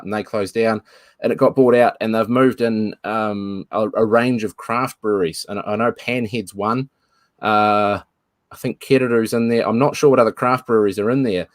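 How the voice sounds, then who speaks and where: A man's voice: fairly low pitch, no echo. A man from New Zealand, a guest in a casual video-call chat, thoughtful and given to restarting phrases.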